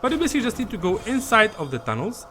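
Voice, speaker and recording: A man's voice: high-pitched, wildly swooping pitch, racing, pushed and bright, soft background music. A man giving an informal, hesitant gameplay guide, often repeating himself as he goes. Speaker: gaming video host. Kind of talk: casual gameplay guide commentary.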